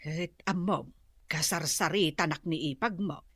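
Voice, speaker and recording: Actress as slow-talking, motherly Ilocano woman. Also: low-pitched, breathy edge, dry recording, studio quality, exaggerated voice. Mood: patient, resigned. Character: patient, motherly woman giving moral advice to daughter. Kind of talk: Ilocano radio drama acting.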